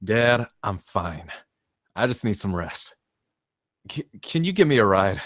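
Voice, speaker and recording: A man's voice: on the low side, very animated, measured pace, fairly bright tone, no room sound, clean studio sound, exaggerated voice. A man playing a weary, withdrawn young man who brushes off concern, in a screenplay table read.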